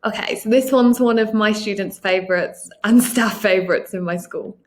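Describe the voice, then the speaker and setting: A British woman in her thirties presents to fellow teachers in a friendly, encouraging tone. Her delivery is loose and conversational, full of "um" and "kind of". Her pitch sits in the middle range. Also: moderate pace. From United Kingdom. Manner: chatty, encouraging, many "um" and "kind of" fillers. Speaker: teacher trainer and academic coordinator. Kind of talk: recorded conference presentation.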